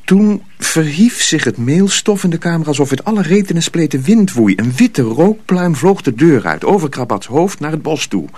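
A man's voice: on the high side, sing-song, normal speed, hardly any pauses, darkish tone, dry recording. A man narrating a radio drama from a script as a storyteller.

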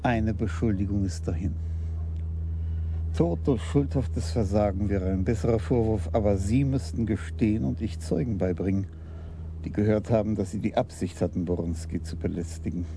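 An actor playing a principled police commissioner in a German radio crime drama, questioning in a measured, terse way. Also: male voice, lowish voice. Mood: dry, probing. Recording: clearly noisy 1980s radio drama recording.

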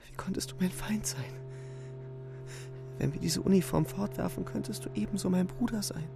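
A high-pitched, pleading remorseful young soldier. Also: male voice, moderate pace, vocal fry. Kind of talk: dramatic radio play acting. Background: music.

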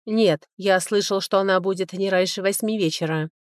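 A woman reading the lines of a calm, polite male caregiver in an audiobook novel, soft-spoken and reassuring. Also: mid-range voice, moderate pace, no echo, studio quality, natural voice. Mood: calm, helpful.

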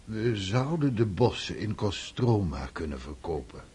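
An actor playing a cautious, long-serving estate steward in a radio drama, formal and obsequious. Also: male voice, fairly low pitch, sing-song, unhurried, darkish tone, slightly rough, full character voice. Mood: deferential, worried.

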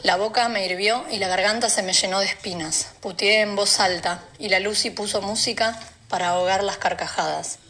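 A woman's voice: medium pitch, fairly even intonation, normal speed, few pauses, bright, forceful tone, slight background noise. A woman with an Argentine accent reading a first-person novel extract as a narrator. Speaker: reader.

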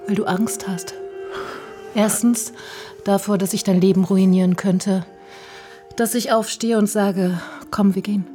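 An actress playing a proud, commanding film star in a German radio drama, cool and provocative, often dropping to a near whisper. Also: female voice, mid-range voice, steady tone, measured pace, long pauses, mellow, soft background music, close to an everyday voice. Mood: taunting, intimate.

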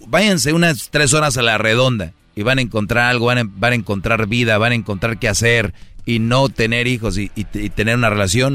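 Blunt joking radio host. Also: male voice, mid-range voice, fairly bright tone, no echo. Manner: blunt, joking, speaks to listeners as brody. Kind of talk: casual radio call-in talk.

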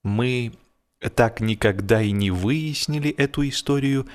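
A man with a mid-pitched voice reads humorous literary fiction as an audiobook narrator. His delivery is theatrical, with key words drawn out for emphasis. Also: measured pace.

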